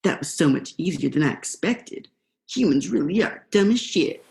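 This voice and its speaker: A woman's voice: sits low, expressive, moderate pace, creaky, a little mellow. A young woman in a student radio play, playing a laid-back, vengeful male eel with a casual, slangy drawl. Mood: sardonic, menacing. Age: young adult.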